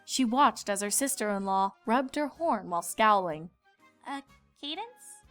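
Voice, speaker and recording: A woman's voice: mid-range voice, animated, normal speed, fairly bright tone, faint music behind. A woman reading scripted narration as a storyteller.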